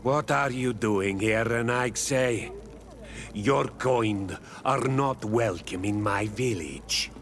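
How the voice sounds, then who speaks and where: An actor playing a proud, hostile island village chief, gruff and disdainful, in a video game. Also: male voice, mid-range voice. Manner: gruff, slow, deliberate, disdainful. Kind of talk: video game dialogue acting. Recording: clear ambient noise behind the voice.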